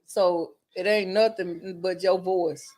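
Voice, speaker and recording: High-pitched guy hosting, jumps in with quick reactions. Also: fairly even intonation, darkish tone. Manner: eager, reacts with exclamations. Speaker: podcast host. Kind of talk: casual interview.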